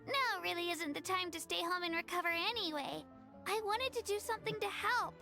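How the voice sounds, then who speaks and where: A woman's voice: very high voice, a little fast, gravelly, bright, forceful tone, faint music behind, heavily acted. A woman voicing an earnest, eager and modest young girl warrior in a video game, with a youthful, slightly hesitant delivery. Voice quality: youthful, girlish.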